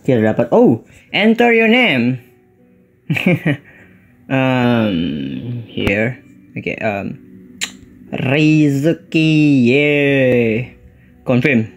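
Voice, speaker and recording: A young man with an Indonesian accent doing casual, slangy game commentary and mixing in English phrases. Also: mid-range voice. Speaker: gaming YouTuber.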